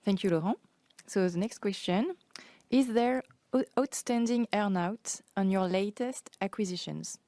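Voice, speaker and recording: A woman's voice: medium pitch, a little slow, dark tone, no room sound. A woman moderating an earnings call, formally reading out investor questions.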